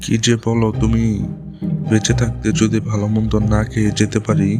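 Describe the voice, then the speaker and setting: Guy acting a bossy village husband in a cartoon, lowish flat voice, music under it. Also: brisk, lightly acted. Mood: content, bossy. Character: food-loving village husband, bossy yet shrewd. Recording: music playing behind the voice.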